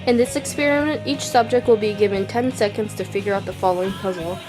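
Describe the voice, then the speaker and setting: A woman's voice: medium pitch, quick pace, over loud music, low-quality recording. A young woman plainly reading out the steps of a class experiment. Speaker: student presenter. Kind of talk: reading a narration script.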